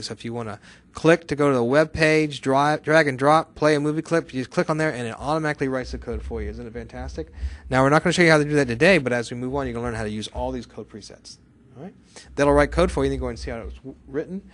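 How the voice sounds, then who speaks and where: A man teaches software in a casual screencast style, talking at a fast clip. His pitch is mid-range, and he is chatty, punctuating the lesson with exclamations and quick questions to the learner. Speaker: software instructor.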